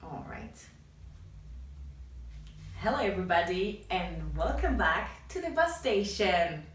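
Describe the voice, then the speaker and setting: A woman from Spain with a Spanish accent, co-hosting a podcast in casual, energetic chat and laughing often. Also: on the low side, slow, slight background noise. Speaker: podcast co-host. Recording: echoey room, mic at a distance.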